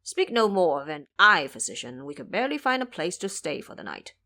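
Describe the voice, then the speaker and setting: A timid, foolish pig-monk from an audio drama, voiced by a woman. He talks loudly and fretfully, with lively swings in pitch. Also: fairly quick, heavily acted. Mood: exasperated. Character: anxious, timid male pig-monk sidekick, foolish and loud. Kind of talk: audio drama character dialogue.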